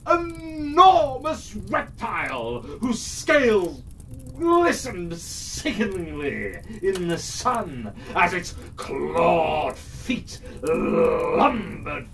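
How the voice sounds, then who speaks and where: A man telling a fairytale to children in a dramatic, theatrical way, drawing out phrases with suspenseful pauses. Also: high voice, fairly bright tone. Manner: theatrical, drawn-out delivery with suspenseful pauses and big swells. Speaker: storyteller. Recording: distant mic, faint background noise.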